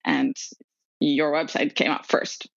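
A woman's voice: low voice, sing-song, takes it slowly, dry recording. Chatty woman from Serbia, dull lo-fi sound. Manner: chatty with frequent ums and laughs. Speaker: podcast guest. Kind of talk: conversational storytelling.

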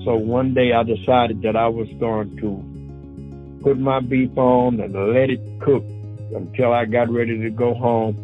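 A man's voice: medium pitch, a little slow. Man in his seventies, phone-like sound. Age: 70s. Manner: folksy, animated, loose Southern grammar. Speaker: barbecue pitmaster. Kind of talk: personal storytelling. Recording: phone-like narrow sound with faint music.

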